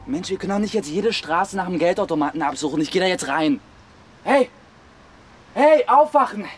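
A man's voice: high voice, expressive, normal speed. An actor playing an aggressive, scornful teenage boy in a radio play, brash and slangy. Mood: aggressive shouting.

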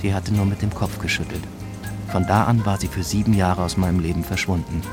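An actor playing a brooding, lovesick policeman, narrating in measured noir style in a German radio drama, over music and rain. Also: low-pitched, fairly even intonation, lightly acted. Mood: wistful, wry. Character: brooding, lovesick male cop telling his story. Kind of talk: noir first-person scripted narration.

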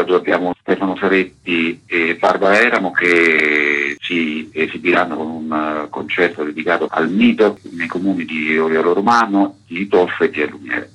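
A man explaining things as a radio interview guest, in formal wording with hesitant pauses. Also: sits low, fairly bright tone, poor audio. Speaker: interview guest, festival artistic director.

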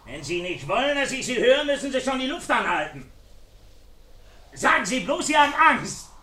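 High-pitched, menacing, jeering intruder. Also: male voice, sing-song, normal speed, distant mic, exaggerated voice. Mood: mocking menace. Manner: jeering, mock-polite, swinging from muttering to shouting. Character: wounded menacing male intruder, mocking and taunting through a door. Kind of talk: German radio crime play acting. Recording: echoey radio play, off the mic.

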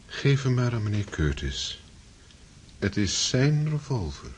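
An actor playing a quietly menacing man in a radio drama, measured, patronising and mock-kindly. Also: mid-range voice, big pitch swings, very noisy. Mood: quietly taunting. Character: sinister, falsely soothing man, quietly menacing.

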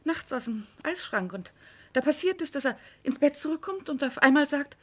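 A put-upon wife in a radio play who stays stubbornly devoted. She speaks in a high voice, earnest, plaintive and a little halting. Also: expressive, fairly bright tone. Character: devoted, long-suffering wife defending her bullying husband. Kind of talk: German radio drama acting.

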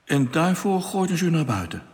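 A man's voice: a little high, sing-song, brisk. An actor playing a nervous, polite, plain-spoken crewman stranded in space, with a hesitant, stammering manner, in a radio drama. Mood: curious, uneasy. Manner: hesitant, stammering when uneasy.

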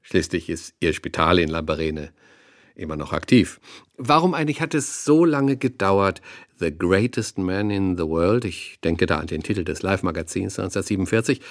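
A radio talk show host with a fairly low voice, recorded close and dry. He is polite and attentive, interviewing in a conversational way with small interjections. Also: lively intonation, moderate pace, no room sound. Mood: courteous, congratulatory.

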